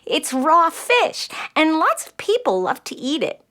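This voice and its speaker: An eager, high-voiced little girl. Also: huge pitch swings, a little slow, pushed and bright, exaggerated voice. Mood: eager, explaining. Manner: earnest, chatty, explaining. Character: bright, eager, spirited nine-year-old girl who loves facts. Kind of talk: children's audio play acting.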